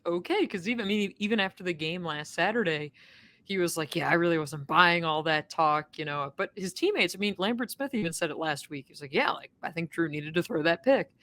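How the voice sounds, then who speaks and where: A woman co-hosting a college football podcast, telling game stories in a booming, lively way from a stadium press box. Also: brisk. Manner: lively storytelling, self-described booming voice. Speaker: podcast co-host, sportswriter. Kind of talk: casual sports podcast chat.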